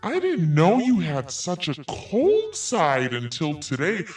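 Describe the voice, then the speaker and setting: Sarcastic man with a lively voice. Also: on the high side, takes it slowly, recorded from a distance, faint music behind. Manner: sarcastic, swearing, laughs through reading. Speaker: podcast host. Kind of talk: commentary podcast, reading messages aloud.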